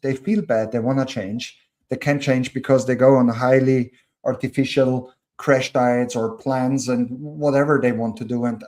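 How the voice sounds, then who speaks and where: A man with a German accent speaks as a podcast guest at an ordinary middle pitch. He talks earnestly, circling back on his words as he thinks aloud. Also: darkish tone. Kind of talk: casual conversation.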